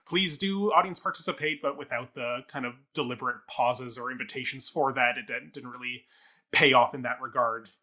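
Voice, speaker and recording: A man's voice: medium pitch, fairly quick, sharp tone. A man co-hosting a casual review talk show, thinking aloud in a wordy, analytical way.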